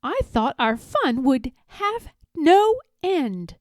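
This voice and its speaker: A woman voicing a vain, indignant pampered palace dog, telling a storybook tale theatrically with dramatic pauses. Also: high voice, very animated, dry recording, studio quality, voice pushed up, heavily acted. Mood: haughty. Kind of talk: expressive storybook read-aloud.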